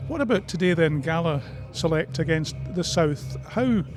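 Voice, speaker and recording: Male sports host, slightly high voice, conversational questioning, outdoors with music behind. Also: expressive, normal speed. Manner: probing, conversational. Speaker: radio host, interviewer. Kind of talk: live sports interview.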